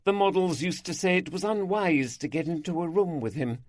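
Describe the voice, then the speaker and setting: A man's voice: a little high, brisk, no room sound, studio quality, pitched above the performer's own voice, lightly acted. A man voicing a polite, refined woman in her mid-forties with a Home Counties accent. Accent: English Home Counties.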